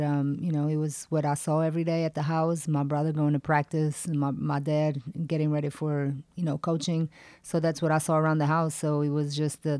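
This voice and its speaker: A woman in her forties from Portugal, a former athlete with a Portuguese accent, answering interview questions in a relaxed way with frequent fillers. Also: low voice, no room sound. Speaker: guest, retired basketball player.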